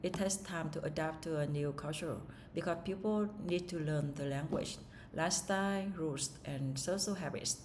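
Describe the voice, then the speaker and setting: A woman's voice: low-pitched, moderate pace, a little mellow, a little hiss or hum. A woman whose first language is Vietnamese, practicing English speaking-test answers aloud, asking herself the questions and answering with hesitations and restarts.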